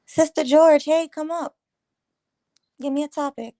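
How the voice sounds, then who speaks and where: A woman hosting a casual morning livestream, chatting and reading viewer comments, her voice a little raspy this morning. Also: sits high, steady tone, a little slow, warm tone, dry recording, studio quality. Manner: chatty, rambling, teasing viewers. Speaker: livestream host.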